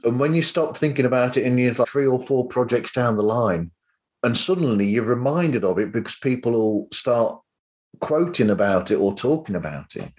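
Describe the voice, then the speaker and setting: A man hosting a podcast in a casual, conversational way. His voice sits at a middle pitch, and he tends to ramble through anecdotes as he makes his point. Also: expressive, moderate pace, a little mellow. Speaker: podcast host.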